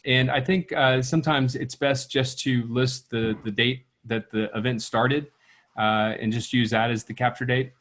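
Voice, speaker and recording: A man moderating questions on a video call, talking off the cuff in a hesitant, halting way. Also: medium pitch, fairly even intonation, a bit bright. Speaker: meeting moderator relaying questions. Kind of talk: unscripted question-and-answer talk.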